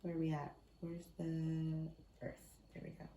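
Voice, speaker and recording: Lower-voiced woman reading tarot, slightly raspy. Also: flat delivery, unhurried, warm tone, roomy sound, a little hiss or hum. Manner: chatty, thinks aloud and corrects herself. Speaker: tarot card reader. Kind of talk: casual spiritual card reading.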